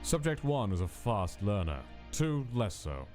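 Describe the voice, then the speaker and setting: A man's voice: lowish voice, big pitch swings, darkish tone. A man voicing a cold, detached monster scientist dictating clinical lab log entries in a fan comic dub. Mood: clinical, measured. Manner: clinical, measured, dismissive. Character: cold, detached male monster mad scientist, a reluctant creator. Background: music.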